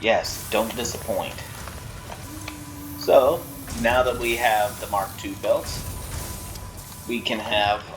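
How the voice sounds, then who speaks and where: Man, casual game commentary, slow pace, playful, laughs a lot. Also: mid-range voice. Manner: chatty, laughs often, playful. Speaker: gameplay creator.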